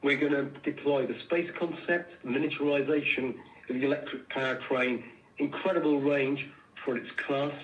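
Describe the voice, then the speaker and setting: A man speaking as a company executive on an earnings call, earnest and deliberate, repeating words as he forms his answers. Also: mid-range voice. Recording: muffled, echoey room, distant microphone.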